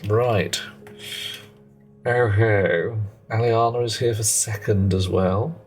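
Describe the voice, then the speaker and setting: Male game streamer, unhurried and gravelly, chatty with his viewers, faint music behind. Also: on the low side, a little mellow. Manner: rambling, self-correcting, chatty with viewers. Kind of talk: casual live gameplay commentary. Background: faint music and a child playing nearby.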